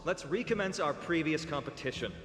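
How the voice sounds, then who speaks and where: A man's voice: fairly high pitch, lively intonation, brisk, lightly acted. Echoey, playful poetic young warrior played by a man. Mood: teasing. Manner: theatrical recitation, gently teasing. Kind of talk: video game cutscene acting. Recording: echoey long reverb, game music behind.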